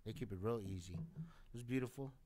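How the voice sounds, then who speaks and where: A man's voice: on the low side, fairly quick, warm tone. A man with a chest cold chatting casually on a podcast, laid-back and swearing a lot. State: congested. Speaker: podcast co-host. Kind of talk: casual chat.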